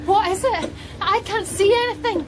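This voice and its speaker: Concerned woman asking questions, high voice, noisy background, radio play. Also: normal speed. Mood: questioning, concerned. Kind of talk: radio drama acting. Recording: noisy outdoor boat sound effects.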